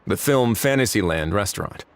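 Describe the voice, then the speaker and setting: A man playing an affable, easygoing figure of authority in a video game, with a relaxed, wry, informal manner. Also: on the low side, brisk. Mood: businesslike. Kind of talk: video game dialogue acting. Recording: faint music behind the voice.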